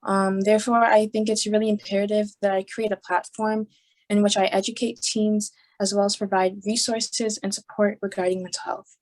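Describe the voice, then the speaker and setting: A woman's voice: mid-range voice, level tone, moderate pace, darkish tone. A young woman reads out a prepared student presentation over a video call. She sounds composed and follows her script, with an ordinary mid-range voice. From New York. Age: young adult.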